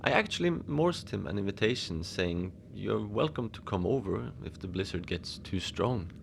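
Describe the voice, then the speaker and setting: A man playing a lonely, gentle Antarctic facility manager, rambling hesitantly and apologetically in a cozy fiction podcast monologue. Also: fairly low pitch, lively intonation, moderate pace, vocal fry, a little hiss or hum. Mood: wistful, friendly. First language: Norwegian. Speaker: podcast narrator.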